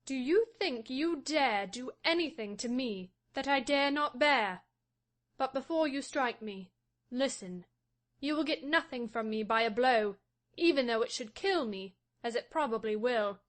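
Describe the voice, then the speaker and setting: A man reading an audiobook voices a proud, defiant young wife, speaking softly and scornfully. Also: very high voice, lively intonation, unhurried, pitched above the performer's own voice, exaggerated voice. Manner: soft, hushed and scornful. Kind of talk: audiobook reading of a classic novel.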